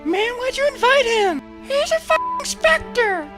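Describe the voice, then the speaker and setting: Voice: very high voice, sing-song, measured pace, over music, heavily acted. A voice actor playing a crude, bossy teenage boy throwing a party, talking in brash slang. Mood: hyped.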